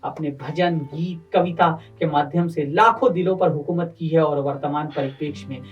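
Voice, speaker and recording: A man's voice: fairly high pitch, steady tone, moderate pace, lo-fi sound. A man, reciting and introducing poetry as host in a declamatory way, repeating phrases for effect. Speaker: poet and host of poetry gathering. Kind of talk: Urdu-Hindi couplet recitation and formal introductions. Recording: distant microphone, music underneath.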